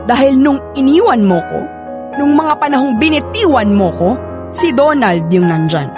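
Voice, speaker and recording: A woman's voice: high-pitched, expressive, moderate pace. A Filipino woman playing a hurt, defiant young wife in a radio drama, emotional in delivery. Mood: hurt, angry. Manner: emotional, bitter, confrontational. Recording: music behind the voice.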